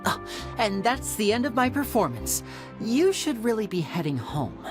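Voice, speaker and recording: A man's voice: sits high, big pitch swings, moderate pace. A confident young magician from a video game. His light, youthful voice swings expressively through theatrical, playful phrasing, with faint music underneath. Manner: theatrical, articulate, teasing. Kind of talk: video game character acting.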